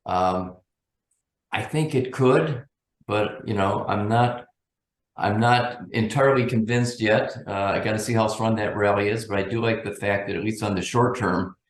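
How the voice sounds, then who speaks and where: A man giving unscripted market commentary as a guest analyst, thinking aloud in a measured, cautious way. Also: fairly low pitch, echoey room.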